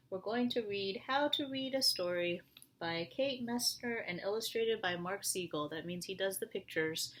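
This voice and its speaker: A woman reading a picture book aloud to young children in an animated way, often asking them questions. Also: medium pitch.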